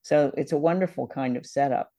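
A woman's voice: very deep voice, a little fast, mellow. Conversational woman co-hosting a podcast. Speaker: podcast co-host. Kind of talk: casual discussion of a radio drama.

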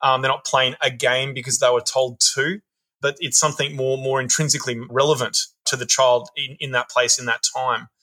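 Bright-voiced man, earnest. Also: medium pitch, little pitch movement, fairly quick, poor audio. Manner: thoughtful, earnest, repeats words as he thinks. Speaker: play worker. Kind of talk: podcast interview answers.

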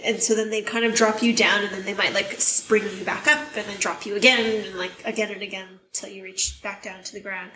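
Hoarse woman chatting on a podcast. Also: medium pitch, brisk, few pauses, crowd noise. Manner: chatty, animated storytelling. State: losing her voice. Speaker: podcast co-host. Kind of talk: casual chat. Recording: echoey room.

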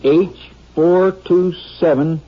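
An actor playing a folksy, dependable sheriff sidekick with a rural Western American accent in a 1950s radio drama. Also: male voice, high-pitched, steady tone, slow. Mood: wry, businesslike. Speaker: supporting actor. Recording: muffled, noisy 1950s radio recording.

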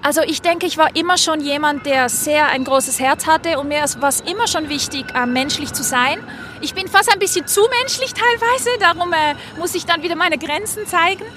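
A woman with a high, bright voice answers interview questions earnestly and off the cuff. She is recorded outdoors, with faint traffic and city noise behind her. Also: normal speed. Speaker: interviewee stopped on the street. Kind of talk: spontaneous casual interview answers. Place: outdoors on a street. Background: faint urban ambience and vehicles.

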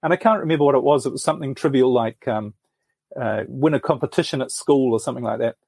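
A middle-aged man tells his story thoughtfully, as if working it out as he speaks. His voice is husky and a little breathy, and it sits mid-range. It is recorded close and dry, with no room sound. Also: mid-range voice, fast talker, a little mellow, no room sound. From New Zealand. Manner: earnest, thoughtful storytelling with frequent restarts. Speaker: podcast guest. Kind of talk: reflective life story in an interview.

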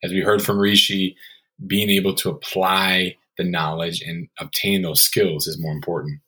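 Man hosting a podcast, lowish voice. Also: little pitch movement, normal speed, pushed and bright. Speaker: podcast host. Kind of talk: scripted podcast narration.

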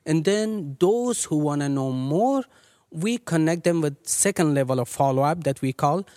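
Man from Afghanistan, ministry leader, resonant smooth voice, dry close sound, earnest measured speech. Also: on the high side, expressive, dark tone, no echo. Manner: earnest, measured, frequent uh fillers. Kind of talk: interview guest, earnest explanation.

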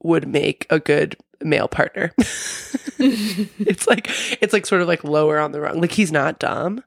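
A woman's voice: low-pitched, a little slow, vocal fry, no echo, clean studio sound. Congested young woman with a slight lisp. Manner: rambling, many "like" fillers, laughs often. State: congested, sniffly. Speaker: podcast co-host. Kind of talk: casual recap chat.

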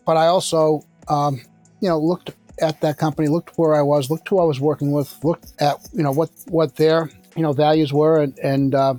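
A man in his sixties, a company founder, answering interview questions in a measured, thoughtful way with frequent fillers and restarts. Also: on the high side, level tone, dark tone, soft background music. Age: 60s.

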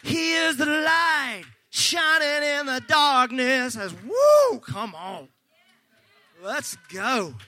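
A male pastor preaching a sermon, fervent and folksy, joking with the congregation and breaking into shouts. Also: very high voice, very animated, extremely slow. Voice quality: slightly gravelly.

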